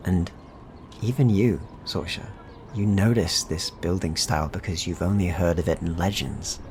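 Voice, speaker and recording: A man's voice: sits low, moderate pace, darkish tone, a little hiss or hum. A man narrating a tabletop fantasy game as game master, in a descriptive, evocative storytelling manner.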